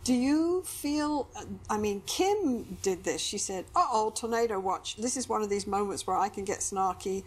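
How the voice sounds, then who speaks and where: A woman's voice: mid-range voice, moderate pace, hardly any pauses, a little mellow, slightly rough. A middle-aged woman, guiding a couples therapy conversation with questions and example phrases. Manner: coaching, asks questions and acts out example lines. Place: television-style studio set with sofas.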